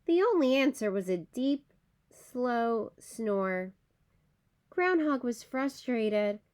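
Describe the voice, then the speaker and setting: A woman storyteller reading aloud for children. Her voice is high and expressive, with big swings in intonation and a slow, measured pace.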